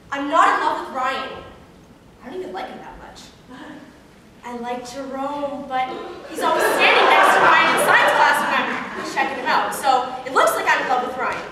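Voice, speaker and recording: Woman as very high-pitched exasperated teen girl, echoey hall. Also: expressive, normal speed, pauses often. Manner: protesting, dramatic. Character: exasperated, pleading teenage girl, worn-out best friend. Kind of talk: amateur one-act stage play. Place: stage performance in a large hall. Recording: echoey hall, distant mic, clear noise.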